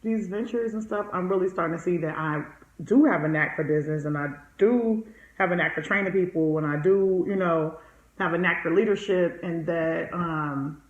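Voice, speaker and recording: A woman's voice: lowish voice, moderate pace. A woman in her forties talking casually and candidly, outspoken and emphatic, repeating phrases to drive them home. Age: 40s. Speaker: podcast guest, entrepreneur being coached. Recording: echoey room, slightly dull sound.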